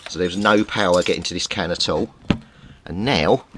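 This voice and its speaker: Deep-voiced man muttering over a repair. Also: moderate pace, a bit bright, a little hiss or hum. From Britain. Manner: muttering, thinking aloud while tinkering. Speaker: radio repair hobbyist. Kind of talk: casual repair commentary.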